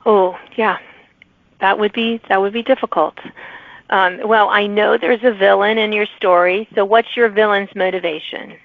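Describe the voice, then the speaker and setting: A woman's voice: mid-range voice, steady tone, moderate pace, no echo, narrow, tinny audio. A woman hosting a podcast, asking interview questions in a mix of prepared wording and casual chat. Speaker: podcast host interviewing authors.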